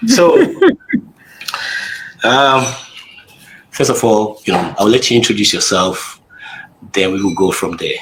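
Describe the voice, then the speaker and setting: Low-voiced man hosting, rambling. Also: huge pitch swings, slow pace, long pauses, a bit bright, a little hiss or hum, rough recording. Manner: rambling, restarts questions, friendly. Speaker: host. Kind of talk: casual interview hosting.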